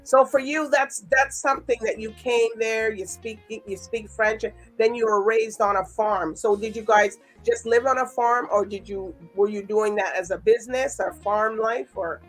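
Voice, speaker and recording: A woman's voice: a little high, steady tone, normal speed, few pauses, soft background music. A woman from Montreal hosting a talk show and chatting casually with a guest. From Montreal, Canada. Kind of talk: casual interview chat.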